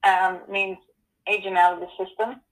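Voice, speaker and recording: A woman in her forties telling her personal story as an interview guest, earnest and halting, with many ums and you-knows. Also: medium pitch, flat delivery, rough recording. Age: 40s.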